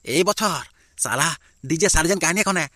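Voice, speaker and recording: Brash, fast-talking village lad played by a man. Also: on the high side, expressive, bright, forceful tone, no echo, studio quality. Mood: boastful. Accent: Purulia Bengali. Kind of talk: amateur cartoon comedy dialogue.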